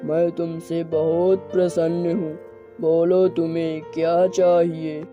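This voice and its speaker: A man's voice: fairly high pitch, flat delivery, slow, lo-fi sound, soft background music. A man voicing a kindly, solemn old bird sage in a cartoon. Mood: benevolent, solemn. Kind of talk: cartoon character dialogue.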